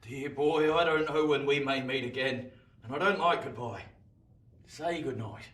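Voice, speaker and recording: A man's voice: medium pitch, unhurried, fairly bright tone, close to an everyday voice. An actor playing a fond, wistful older man in a radio play, tender and plain-spoken. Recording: echoey, distant from mic.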